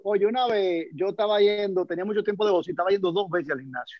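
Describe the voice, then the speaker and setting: High-voiced Dominican man, dull dry sound. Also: fast talker, no room sound. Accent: Dominican Spanish. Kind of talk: casual chat.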